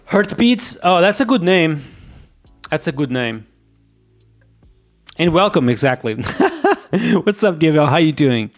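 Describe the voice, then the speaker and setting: A man with a Portuguese accent, a native Portuguese speaker, streaming live as he codes. He thinks aloud, muttering to himself and repeating quick agreements as he reads, and he sounds sick. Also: fairly high pitch, very animated, soft background music. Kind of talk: thinking aloud while coding, chatting with viewers.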